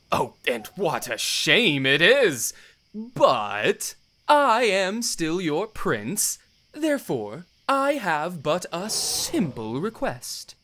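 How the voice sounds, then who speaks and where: A man's voice: high voice, huge pitch swings, very slow, bright, forceful tone, full character voice. A man acting a playful, overdramatic young prince with theatrical, mock-pompous delivery in an audio drama. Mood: mock dramatic.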